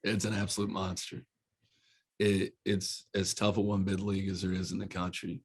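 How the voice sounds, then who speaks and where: Low, level-toned Eastern Kentucky man in his forties, college head coach, folksy and earnest. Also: monotone, moderate pace, slightly rough. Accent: Eastern Kentucky country. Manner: folksy, earnest, repeats words as he restarts. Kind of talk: casual interview answers.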